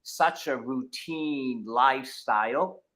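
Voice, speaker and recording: A middle-aged man with a thick Boston accent chats as a guest on a podcast. His voice is bright and forward, and he wanders through his stories with plenty of fillers and false starts. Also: medium pitch, slow pace. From New England, United States. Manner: rambling, many restarts and fillers. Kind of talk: casual podcast chat.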